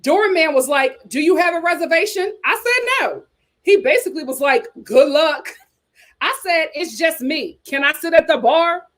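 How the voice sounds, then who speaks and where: A woman's voice: high-pitched, lively intonation, moderate pace. An American woman hosting a casual chat show, reading aloud and commenting with playful, teasing asides. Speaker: host of a reality TV chat show.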